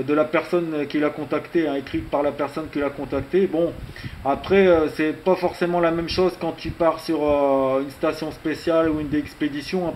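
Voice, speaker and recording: Higher-pitched man chatting, faint background noise. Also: darkish tone. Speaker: amateur radio operator. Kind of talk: casual radio contact chat.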